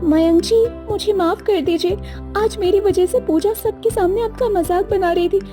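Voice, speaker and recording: Very high, soft young wife voiced by a woman. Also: normal speed, faint music behind. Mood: apologetic tender. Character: earnest young wife devoted to her husband. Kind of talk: Hindi moral-story cartoon dub.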